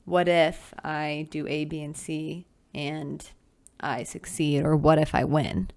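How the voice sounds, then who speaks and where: A woman's voice: deep voice, steady tone, slow pace, darkish tone, dry recording. A woman in her twenties hosting a solo podcast, chatting casually and teasing herself, with sighs and asides. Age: 20s. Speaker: podcast host. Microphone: camera with close mic.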